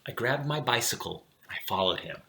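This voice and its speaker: A man reading a children's picture book aloud expressively, with theatrical delivery and deliberate dramatic pauses. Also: medium pitch, expressive, vocal fry, background noise. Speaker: storybook reader for children. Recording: roomy, echoing room sound.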